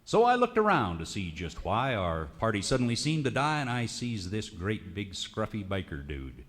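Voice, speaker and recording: A man with an American West accent recites comic narrative cowboy poetry in a folksy, theatrical drawl with drawn-out emphasis. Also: lowish voice, sing-song, a bit bright. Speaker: cowboy poet. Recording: reverberant hall recording of a radio show.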